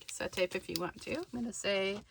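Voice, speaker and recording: A woman's voice: mid-range voice, fast, vocal fry, background noise. A woman narrating a hands-on craft tutorial casually, thinking aloud as she works. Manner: informal, thinking aloud while working.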